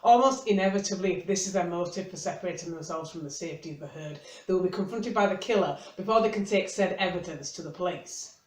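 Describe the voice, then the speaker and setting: The recording is roomy and echoing. A reviewer delivers scripted commentary with a dry, tongue-in-cheek manner, at a medium pitch. The delivery is that of a wry explainer walking through familiar material. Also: fast talker, hardly any pauses. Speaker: book reviewer and video host.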